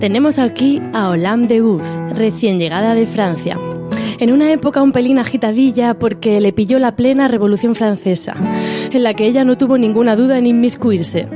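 A woman's voice: fairly high pitch, normal speed, over music, close to an everyday voice. A woman playing a playful, mock-grand presenter of a comic radio segment. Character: bubbly, parodic radio presenter of a feminist comedy segment.

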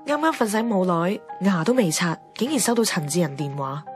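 A wry young Hong Kong woman in a radio drama. Her teasing Cantonese delivery swings widely in pitch, over clearly audible music. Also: very animated, fairly quick. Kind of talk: radio drama dialogue and narration. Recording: music behind the voice.

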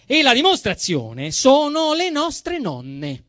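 A man with a Roman Italian accent performing a theatrical comic monologue in an emphatic, mock-dramatic way, drawing out phrases and then rushing. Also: very high-pitched, huge pitch swings, fairly bright tone, no room sound, clean studio sound.

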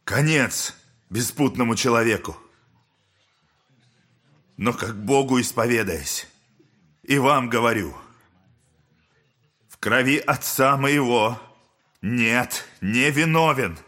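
A man's voice: medium pitch, lively intonation, slow, pauses often, bright, forceful tone, exaggerated voice. An actor playing a passionate, anguished accused man who declaims solemnly and emotionally in an audio drama. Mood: broken, pleading. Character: Dmitry Karamazov, passionate anguished accused man pleading innocence. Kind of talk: theatrical audio drama acting.

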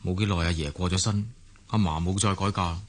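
A man's voice: low voice, fairly even intonation, a little fast. An actor with a Hong Kong Cantonese accent plays a down-to-earth, sceptical young man in a radio drama. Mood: reassuring. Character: down-to-earth young grandson, loyal and sceptical friend.

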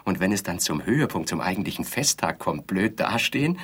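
An actor playing a placid, defensive bridegroom in an absurdist radio play, musing and mildly pompous, with a husky voice. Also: male voice, low-pitched, big pitch swings, brisk, a bit bright, heavily acted. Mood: musing, resigned. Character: placid, defensive bridegroom, absent-mindedly insisting on their happiness.

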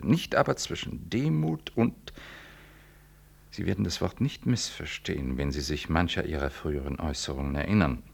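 Very deep, creaky, solemn priest. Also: male voice, exaggerated voice. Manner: deliberate, formal, weighty pauses. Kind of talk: 1960s German radio play acting.

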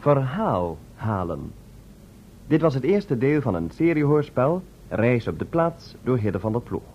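A man giving a measured, scripted announcement as the announcer of a radio serial. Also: medium pitch, very animated. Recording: dull old radio recording, faint hiss.

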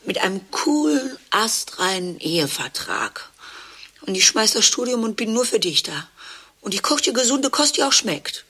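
A woman's voice: fairly high pitch, sing-song, moderate pace, fairly bright tone, slightly rough, heavily acted. An actress in a radio play, playing a chic, ironic woman married to a dentist, speaking in a wry, drawling way. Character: chic ironic wife of a dentist, half-sister. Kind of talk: radio play monologue and dialogue.

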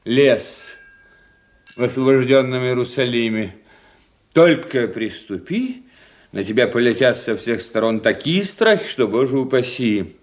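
An actor voices a worldly male doctor in a literary radio play. His mid-pitched voice rises and falls dramatically. He is witty and sardonic, teasing in an expressive, theatrical way. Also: measured pace, lightly acted. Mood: animated, sardonic. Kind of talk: Russian radio drama acting.

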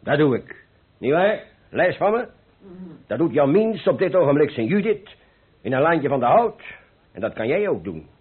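An older painter, blithe and full of persuasive rhetoric. His high voice is husky and leaps about with great animation, as radio play dialogue. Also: big pitch swings, moderate pace, pauses often. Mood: bantering, encouraging. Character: cheerful, carefree, philosophizing older male painter. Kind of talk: Dutch radio play acting. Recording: muffled old radio play recording.

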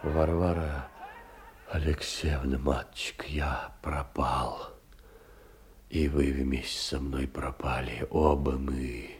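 A despairing middle-aged clerk, very husky and low. He speaks haltingly and slowly in a theatrical monologue, humiliated and anguished. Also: exaggerated voice. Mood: broken despair. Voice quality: husky, breathy, creaky. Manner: halting, anguished, swinging from whisper to outcry. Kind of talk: theatrical audio drama monologue.

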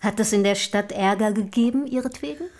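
A woman's voice: mid-range voice, a little fast, a little mellow, close to an everyday voice. An actress playing an eager, self-assured young noblewoman writer of the early 1800s in a radio play, with formal, inquisitive diction. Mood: inquisitive, polite. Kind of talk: German radio play acting.

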